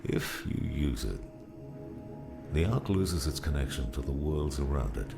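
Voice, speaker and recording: An actor playing a gruff, fatherly old mentor, weary and wise, in a slow audiobook drama performance with a gravelly voice. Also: a bass voice, animated, full character voice. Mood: solemn. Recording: music behind the voice.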